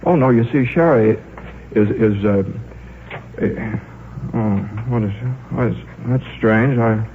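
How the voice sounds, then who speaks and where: A man's voice: fairly low pitch, takes it slowly. An actor playing an absent-minded, earnest American scientist and father in a 1950s radio drama, with a hesitant, stammering, meandering way of talking. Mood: befuddled, apologetic. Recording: muffled, noisy 1950s radio recording.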